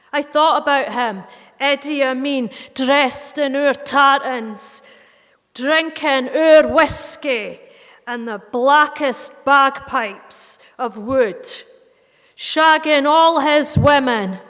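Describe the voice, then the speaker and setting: High-voiced Scottish woman performing a monologue. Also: fairly bright tone, long reverb tail. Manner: intense, vivid storytelling in Scots slang. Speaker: spoken-word performer. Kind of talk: dramatic first-person monologue.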